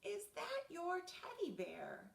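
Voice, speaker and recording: A woman's voice: very high voice, huge pitch swings, slow pace, vocal fry, voice pushed up, exaggerated voice. A woman voicing an eager, pestering young boy at a sleepover in a storybook, with insistent, exaggerated questions. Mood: insistent, curious. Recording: roomy echo, distant mic.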